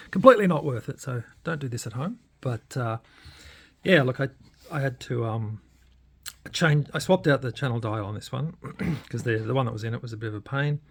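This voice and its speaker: A man giving a casual hands-on demonstration as a radio hobbyist, often hesitating and pausing mid-sentence in a creaky voice. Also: mid-range voice.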